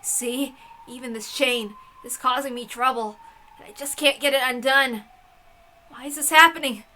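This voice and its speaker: Bright, expressive woman reading a story aloud. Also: sits high, normal speed, slight background noise. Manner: expressive, theatrical. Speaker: reader of a visual novel. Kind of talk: dramatic narrated reading.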